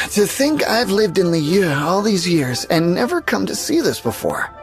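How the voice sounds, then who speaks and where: A friendly local townswoman in a video game. She is polite and chatty, with animated intonation and some creak in the voice. Music plays clearly behind. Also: on the low side, unhurried. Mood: welcoming, wistful. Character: friendly, courteous local festival-goer. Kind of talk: video game character acting.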